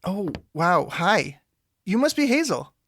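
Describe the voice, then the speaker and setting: A man's voice: high-pitched, sing-song, slow pace, a bit bright, a little hiss or hum, exaggerated voice. A man playing an earnest, awkward young superhero sidekick who politely rambles through explanations in an audio drama. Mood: awkward, friendly. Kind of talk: scripted audio drama dialogue.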